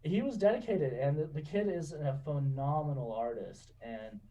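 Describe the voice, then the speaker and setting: A man in his twenties telling stories in a relaxed, chatty way, laughing often and saying "you know" a lot. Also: a little high, moderate pace, far from the mic, muffled recording. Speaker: guest. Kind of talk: casual interview storytelling.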